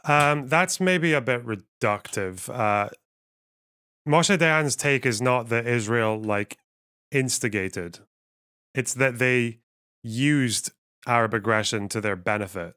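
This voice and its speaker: A man's voice: medium pitch, slow pace, pushed and bright, smooth. A man hosting a livestream, thinking aloud in a rambling, wry way while reading out chat. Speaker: livestream host.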